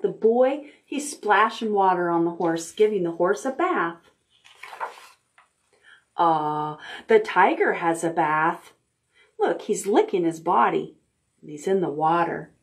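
A middle-aged woman hosting a children's storytime, reading aloud in simple, expressive language for toddlers. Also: lowish voice. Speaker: library storytime host. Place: indoor room, recorded on video.

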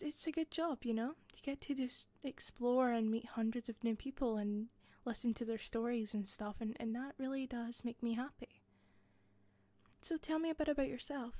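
A woman with a softened Scottish accent playing an attentive hostess in a roleplay, speaking softly into a close mic with frequent giggles and whispers. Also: on the high side, no room sound. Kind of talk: listener-directed roleplay.

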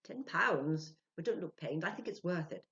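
An earnest, self-important man who works as a medium, acted out by an older woman storyteller, speaks in emphatic, animated swings. He keeps justifying himself. It is a storybook reading on a slightly dull home recording. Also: fairly low pitch, moderate pace, pitched above the performer's own voice. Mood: eager, emphatic.

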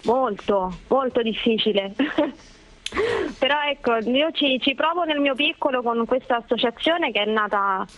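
A woman's voice: sits high, expressive, a little slow, fairly bright tone. A woman phoning in as a guest on a live radio interview, over a telephone line. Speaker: phone-in guest, writer and cultural association president. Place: on a phone call into a radio show.

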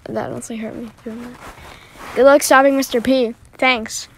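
A woman doing amateur voice acting for an animated story, with dramatic, drawn-out line readings. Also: on the high side, a little hiss or hum.

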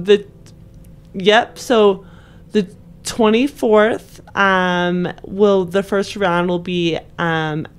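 A woman's voice: fairly low pitch, slight background noise. A woman co-hosting a podcast in casual, conversational talk. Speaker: podcast co-host. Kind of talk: casual chat.

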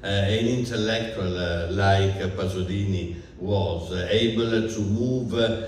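Older presenter, very low voice, hesitant, echoey distant room. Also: male voice, slight background noise. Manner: halting, many fillers. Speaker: event presenter. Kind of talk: formal introduction. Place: bookstore event room. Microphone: handheld mic, far camera.

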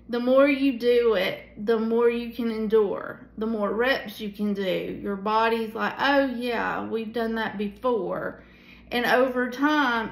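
A tired woman in her forties leading a casual Bible study from a small room at home, talking into a phone held at arm's length, with many pauses and fillers. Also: fairly high pitch, a bit bright, echoey room, poor audio. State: tired at the end of the day. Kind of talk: casual devotional teaching. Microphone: phone camera at arm's length.

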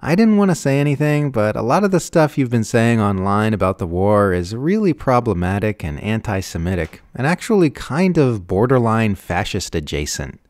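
A man's voice: on the low side, big pitch swings, moderate pace, few pauses, a little mellow, no room sound, clean studio sound, voice pushed up. A man voicing a self-righteous young niece, earnest, preachy and reproachful.

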